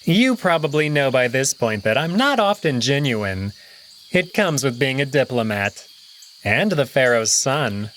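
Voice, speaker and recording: A man reads as a poised young alien prince who serves as a diplomat, choosing each word with formal care. His lines glide in a lively, rising and falling melody at a middling pitch, with faint insect ambience underneath. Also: a little hiss or hum. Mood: wry. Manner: measured, formal, unhurried delivery.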